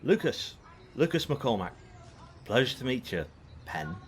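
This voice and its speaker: A man's voice: medium pitch, big pitch swings, unhurried, a little hiss or hum. An actor playing a smug, cocky male outlaw in an audio drama, teasing and self-satisfied.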